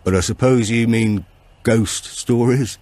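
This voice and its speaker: A man voicing a grave old storyteller who gives warnings slowly and deliberately in an audiobook. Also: medium pitch, steady tone, a little mellow, no echo, pitched above the performer's own voice, natural voice. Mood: grave, cautioning.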